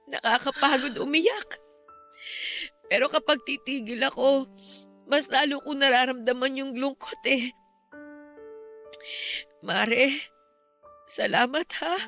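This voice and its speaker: Slow, grieving Filipino mother. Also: sits high, pushed and bright, exaggerated voice. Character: grieving bereaved mother, tearful and grateful. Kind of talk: Filipino radio drama acting. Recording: music behind the voice. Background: music.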